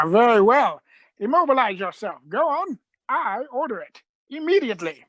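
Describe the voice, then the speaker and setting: A woman's voice: a little high, big pitch swings, normal speed, no room sound. Woman playing an emphatic, eccentric Doctor, dry recording. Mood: commanding. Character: urgent, eccentric, commanding alien Doctor, quick-witted. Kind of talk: scripted radio play reading.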